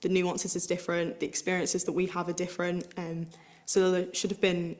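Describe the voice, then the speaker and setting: A woman's voice: lowish voice, completely flat delivery, a little fast, a little mellow. A woman, speaking earnestly and reflectively in a recorded interview clip about her experience on a program. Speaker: program participant in a video clip.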